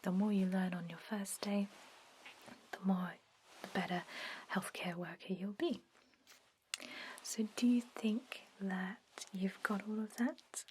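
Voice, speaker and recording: A chatty, bossy vampire lab worker acted by a woman in an ASMR roleplay, whispering her instructions in a conversational way. Her pitch is middling for a woman, and clear background noise sits behind the whisper. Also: steady tone, takes it slowly, long pauses, close to an everyday voice, low-quality recording. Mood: chatty, enthused. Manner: softly spoken, instructive, conversational.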